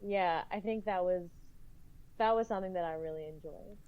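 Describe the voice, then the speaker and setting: A woman's voice: fairly low pitch, moderate pace, dull audio. A woman guest on a podcast round-table, talking in a thoughtful, rambling way with small laughs. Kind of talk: casual round-table chat. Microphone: video call.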